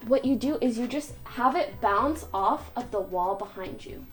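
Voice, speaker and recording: A woman's voice: a little high, animated, normal speed, soft background music. A woman streamer giving a casual, joking tour of her desk setup, speaking into a studio mic. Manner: bubbly and joking, drops into whispers. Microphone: studio mic on a desk stand.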